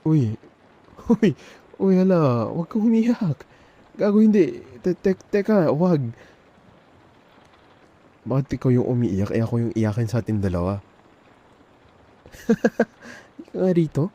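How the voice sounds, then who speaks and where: A man with a Filipino accent playing a nervous, tender young man in an intimate ASMR boyfriend roleplay, speaking haltingly and repeating words. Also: fairly high pitch, very animated, dark tone, dull audio, exaggerated voice. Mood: flustered. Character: nervous, tender young man confessing love to male best friend. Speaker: ASMR audio roleplay performer.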